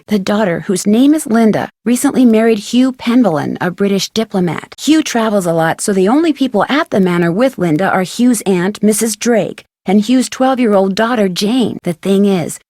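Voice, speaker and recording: A woman's voice: medium pitch, mellow, no echo, natural voice. Actress as American teenage girl detective, plucky, curious, scripted letter narration, dry studio sound.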